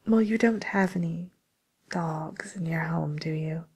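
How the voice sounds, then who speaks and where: A woman playing a polite, tactful matchmaker for villains in a whispered ASMR role play, speaking breathily with gentle, hesitant pauses. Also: low voice, slightly rough, exaggerated voice. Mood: tactful, gently amused.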